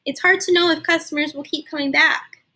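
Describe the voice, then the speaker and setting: A woman voicing a kind, heartfelt woman who owns a bookstore, in a tender, expressive storybook delivery. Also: sits high, a little fast, pitched above the performer's own voice. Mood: sad, unsure.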